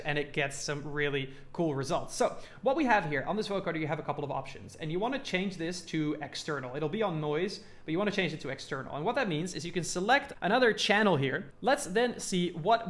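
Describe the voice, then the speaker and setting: A man's voice: a little high, fairly bright tone. A man hosting a music production tutorial in a casual, informal and enthusiastic way.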